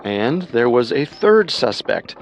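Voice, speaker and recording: A man narrating a scripted true crime podcast in a measured, dramatic way. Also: mid-range voice, big pitch swings.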